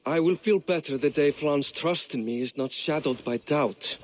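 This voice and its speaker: A man's voice: a little high, normal speed, lightly acted. A man playing a wry, weary Viking lord. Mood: rueful. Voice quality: rueful, dryly joking. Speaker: Viking king of Dublin. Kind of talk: video game cutscene acting.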